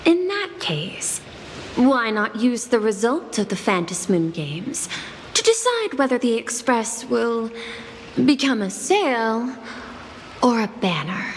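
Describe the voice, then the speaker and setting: A woman's voice: fairly high pitch, very animated, slow, a little hiss or hum. A woman playing a cool, logical corporate executive in a video game, formal, precise and detached. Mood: measured, challenging. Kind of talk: scripted video game voice acting. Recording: long hall reverb, music behind.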